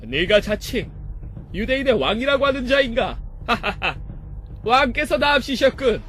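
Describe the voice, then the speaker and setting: A sneering Roman soldier, very high and sharp in voice. His taunts swing widely and gleefully, in exaggerated children's Bible drama style. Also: sing-song, measured pace, slight background noise, full character voice. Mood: mocking glee.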